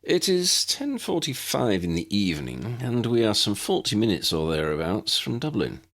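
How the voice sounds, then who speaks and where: A man's voice: lowish voice, very animated, normal speed, fairly bright tone, pitched above the performer's own voice, full character voice. A man voicing a dignified, genial older uncle in an audiobook, with formal, precise, wordy speech. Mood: genial, informative.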